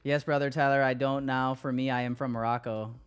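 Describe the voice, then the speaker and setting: A man's voice: medium pitch, level tone, a little fast, clean tone. A man hosting a livestream, chatting casually with his viewers in a slangy, joking way and swearing freely. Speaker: livestream host.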